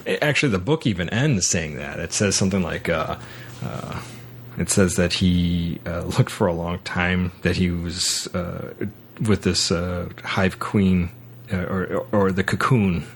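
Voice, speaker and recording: Halting, very deep-voiced man hosting a podcast. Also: a little hiss or hum. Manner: halting, often repeats words. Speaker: podcast host. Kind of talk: casual film and book discussion.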